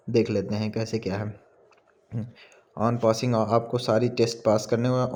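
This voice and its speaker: Flat, mellow Indian man explaining exam rules. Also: on the low side. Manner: halting when reading English aloud, switches between Hindi and English. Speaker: exam information presenter. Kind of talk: informal explainer, reading out notice and commenting.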